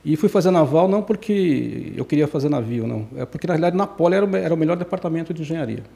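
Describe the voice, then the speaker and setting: Man in his 60s, podcast guest; quick, mellow, rambling delivery that keeps self-correcting. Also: fairly high pitch, animated, vocal fry. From Brazil. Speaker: guest engineer. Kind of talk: casual podcast interview.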